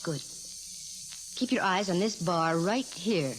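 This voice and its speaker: A woman's voice: fairly low pitch, expressive. Wisecracking lady private eye, hissy old radio. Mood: confident, brisk. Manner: brisk, dry, wisecracking. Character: wisecracking, cool-headed female private detective narrating her cases. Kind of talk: 1940s radio acting. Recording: hissy, noisy 1940s radio recording.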